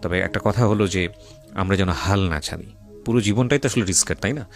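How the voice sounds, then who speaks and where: Deep-voiced, fast-talking man hosting radio. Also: gravelly, faint music behind. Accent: Bangladeshi. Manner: mixes English phrases into Bengali; steers with questions and recaps. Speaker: radio show host. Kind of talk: interviewing a guest about a life story.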